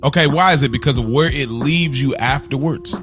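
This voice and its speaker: A man's voice: medium pitch, fairly quick. Questioning man with faint music behind. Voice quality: probing, asks follow-up questions. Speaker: podcast co-host. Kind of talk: casual chat.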